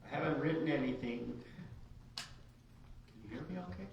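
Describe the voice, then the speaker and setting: A male writer reads his story aloud in a halting, informal way, with a mid-pitched voice. The room is heavily reverberant and he sounds set back from the microphone, a little muffled. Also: vocal fry. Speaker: writer reading his work. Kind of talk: reading an autobiographical short story aloud. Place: large echoey indoor room at a live reading. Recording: very echoey room, distant dull mic, hiss.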